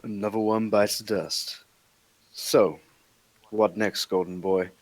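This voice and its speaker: A man's voice: lowish voice, steady tone, takes it slowly, no echo, rough recording. A man reading the part of a scruffy, skeptical monster hunter in his forties, with a sarcastic, wisecracking manner.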